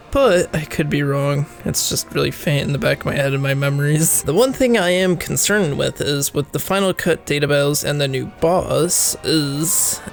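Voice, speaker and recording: Young male gaming creator, under the weather, fry in the voice, a bit higher than most men, rambling and laughing. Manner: rambling, laughs often, self-deprecating asides. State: sick, voice rough. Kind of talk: casual opinion commentary.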